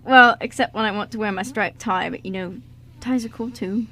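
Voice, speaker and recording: A woman's voice: medium pitch, moderate pace, a bit bright, a little hiss or hum, natural voice. A woman playing a polite, friendly, slightly shy young man in an amateur radio play, chatty but hesitant. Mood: pleased, chatty.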